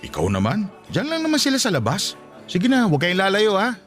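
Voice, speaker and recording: Playful middle-aged dad, Filipino accent, hugely animated intonation, assertive, teasing, radio drama. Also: male voice, high voice, a little fast, faint music behind, heavily acted. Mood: breezy, reassuring.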